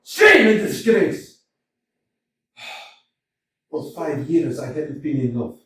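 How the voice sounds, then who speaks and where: A hot-tempered landowner, read by a man on a community stage who lurches between sulky mutters and bellowed declarations. His voice sits high and leaps all over, delivered at an unhurried pace. The mic is distant and the hall rings with echo. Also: very animated, exaggerated voice. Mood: passionate. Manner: swings from muttering to loud outbursts. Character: blustering middle-aged male landowner, hot-tempered, lovestruck. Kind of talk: staged reading of a stage comedy. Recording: echoey hall, mic from far off.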